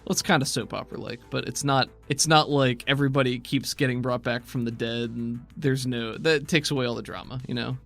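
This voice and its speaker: A man with an American accent talking casually as a game master, explaining rules and narrating in a measured, conversational way. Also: medium pitch, fairly even intonation, creaky, fairly bright tone, soft background music. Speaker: game master and podcast host.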